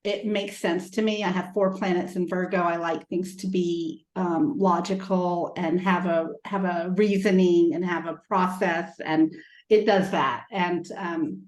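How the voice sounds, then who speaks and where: A middle-aged woman from Texas with an American accent, chatting as a guest astrologer. Her talk is relaxed and full of laughs. The recording has a roomy echo. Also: lowish voice, measured pace. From Texas, United States. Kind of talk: casual conversation over video. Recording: echoey room.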